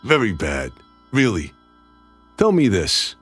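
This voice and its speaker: A man's voice: on the low side, wildly swooping pitch, slow pace, fairly bright tone, slightly rough, faint music behind, full character voice. A man acting a sardonic, menacing tough guy bent on revenge in a radio drama, terse and mocking. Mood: bitter taunting. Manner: terse, mocking, hard-boiled.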